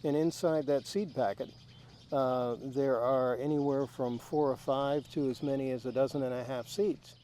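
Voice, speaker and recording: A man explains his work in a mellow, relaxed voice, pausing often and restarting his phrases. Faint rural noise hangs behind him outdoors. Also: medium pitch. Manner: halting, with fillers and restarts. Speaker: daylily grower. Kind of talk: casual explanatory interview answers. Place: outdoor garden.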